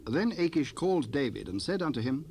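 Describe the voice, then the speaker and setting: A man narrating scripture from a script in a measured, solemn manner. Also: on the high side, very animated, darkish tone. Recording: faintly noisy 1970s cassette rip.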